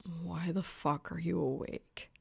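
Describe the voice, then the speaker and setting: A woman acts out a sarcastic young male gamer for an audiobook. He grumbles in a low, gravelly, deadpan voice, sounding grumpy and put-upon. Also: slow, exaggerated voice. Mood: grumbling.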